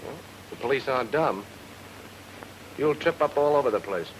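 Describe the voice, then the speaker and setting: A man's voice: mid-range voice, lively intonation, moderate pace, natural voice. An actor playing a tough, cool-headed male detective with a clipped, commanding delivery in an old radio noir drama. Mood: curt, probing. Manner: clipped, hard-boiled, commanding. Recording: noisy old radio recording.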